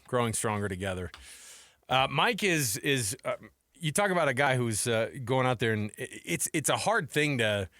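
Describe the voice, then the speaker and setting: A man's voice: on the low side, a bit bright, no room sound. Guy hosting sports radio, chatty, says man and dude a lot, dry close mic. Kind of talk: casual sports talk radio. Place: radio studio. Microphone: close studio microphone.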